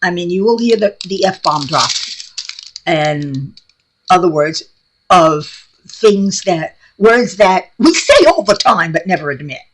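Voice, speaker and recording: A woman's voice: fairly low pitch, expressive, slow pace, low-quality recording. A middle-aged woman from New York with a New York accent, chatting casually and bluntly in a craft vlog, laughing often. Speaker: craft vlogger. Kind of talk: casual rambling chat. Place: home craft room.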